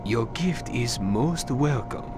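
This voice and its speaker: A man playing a courteous, coldly formal corporate executive in a video game cutscene, measured and polite. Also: mid-range voice, expressive, loud music behind the voice. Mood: formal satisfaction.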